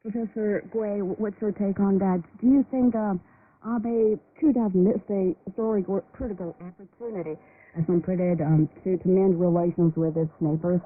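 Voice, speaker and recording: A woman's voice: medium pitch, steady tone, normal speed. A woman interviewing guests on a current affairs radio discussion, putting probing questions in conversational, tentative phrasing. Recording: noisy, tinny narrow-band shortwave radio.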